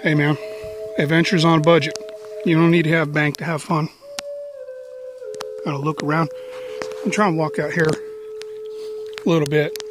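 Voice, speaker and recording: A man's voice: fairly high pitch, very animated, normal speed, long pauses, noisy background. An American man in his fifties chatting casually for an outdoor vlog, with a folksy, laid-back, slangy manner, speaking outside on a riverbank into a phone camera. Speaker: outdoor adventure vlogger. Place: outdoors on a riverbank in a rocky canyon. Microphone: handheld phone camera, sometimes set down a few feet away.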